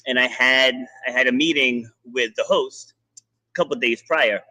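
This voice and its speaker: Bright, husky-voiced male podcast host. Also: medium pitch, moderate pace, dry recording, rough recording. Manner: animated storytelling, many restarts. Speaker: podcast host, fitness coach. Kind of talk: casual interview chat.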